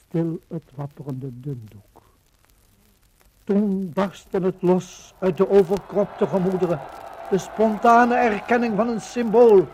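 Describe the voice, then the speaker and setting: A man narrating a scripted 1950s radio documentary in a formal, solemn, declamatory way, on a noisy old recording. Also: sits high.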